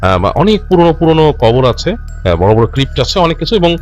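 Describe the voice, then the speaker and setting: Man telling stories with lively, swooping pitch. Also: faint music behind. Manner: halting, self-correcting, repeats and rephrases phrases as he goes; mixes English words into his speech. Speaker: host narrating listener-submitted ghost stories. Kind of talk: unscripted conversational storytelling.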